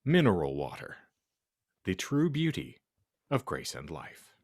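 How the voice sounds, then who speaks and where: A man's voice: low-pitched, huge pitch swings, a little slow, a little mellow, exaggerated voice. Man doing a melodramatic bratty teenage goth girl. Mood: smug lecturing. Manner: slangy, indignant, melodramatic. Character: bratty, foul-mouthed teenage goth girl essay writer. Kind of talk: comedic dramatic reading of fan fiction.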